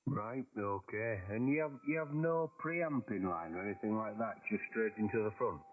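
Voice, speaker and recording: A man chatting casually over amateur radio, halting and hesitant with many uhs. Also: lowish voice. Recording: narrow phone-like radio audio.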